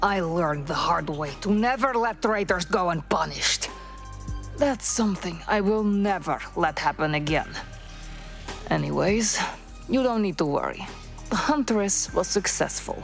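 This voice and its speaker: Low-voiced vengeful crime leader woman. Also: lively intonation, unhurried, gravelly, soft background music. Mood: cold, smug. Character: vengeful, menacing woman leading a criminal organization. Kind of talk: scripted video game cutscene acting.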